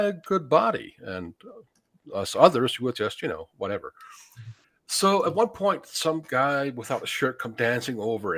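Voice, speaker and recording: Man storytelling with lively, swinging intonation. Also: medium pitch, no echo. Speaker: podcast panelist. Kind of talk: casual personal storytelling.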